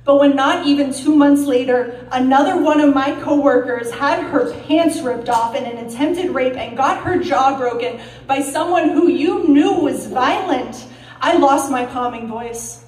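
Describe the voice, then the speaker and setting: High-voiced woman performing slam poetry, roomy echo. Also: a little mellow. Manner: deliberate, dramatic delivery with pauses and emphasis. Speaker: slam poet. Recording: roomy echo, distant mic.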